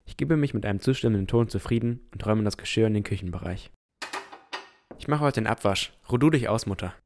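A man playing an earnest, pensive village boy of sixteen, narrating a fantasy audio drama in a reflective, deliberate way. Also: on the low side, a little mellow, a little hiss or hum, close to an everyday voice. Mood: earnest, curious.

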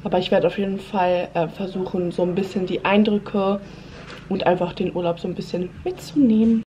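A woman's voice: on the low side, brisk, slight background noise. A young woman with a cold, her voice a little stuffy and congested, chatting casually to camera in a hotel room while filming on a handheld phone. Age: young adult. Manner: chatty, relaxed, talking straight to camera. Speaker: vlogger. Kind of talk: casual travel vlog. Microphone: handheld phone camera at arm's length.

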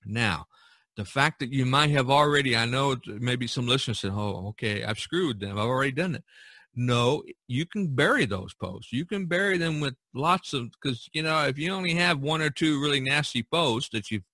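A mature male podcast host with a bright voice and a dry, close sound. He talks at length in a casual, rambling way, leaning on repeated phrases to drive things home. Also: medium pitch, animated, normal speed, dry recording. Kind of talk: casual podcast talk, long monologue.

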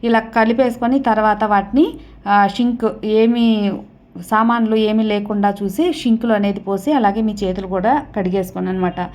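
A woman's voice: mid-range voice, steady tone, normal speed, darkish tone. A woman hosting a devotional channel, explaining to viewers step by step in a repetitive, instructional way. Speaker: host of a devotional remedy channel.

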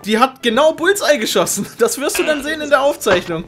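A man's voice: extremely high pitch, expressive, fairly quick. A man hosting a Minecraft game show casually and comically, informal, sweary and giggly in his exasperation. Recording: music behind the voice. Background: music.

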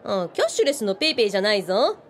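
An actress playing a tough, bookish woman who talks bluntly in rough, mannish words, in a comic radio drama. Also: medium pitch, big pitch swings, very fast, a little hiss or hum, close to an everyday voice. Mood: opinionated, enthusiastic. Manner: blunt, teasing, casual rough wording.

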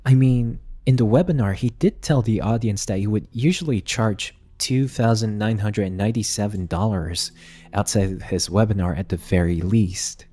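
A man's voice: lowish voice, moderate pace, warm tone, husky. A man narrating a scripted investigative commentary video.

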